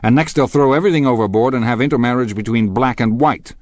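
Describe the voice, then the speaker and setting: A man voicing a pompous, blustering husband in an audiobook, incredulous, scornful and quick to snap. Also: on the low side, sing-song, no echo, studio quality, pitched above the performer's own voice. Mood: indignant. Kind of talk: audiobook character dialogue.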